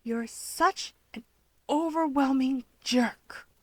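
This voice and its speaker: A woman's voice: high voice, slow, no room sound, voice pushed up. A woman voicing a playful, stubborn, easily riled young winged hero, insistent and teasing, in an audiobook. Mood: stiff, irritated. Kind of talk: fan fiction audiobook dialogue.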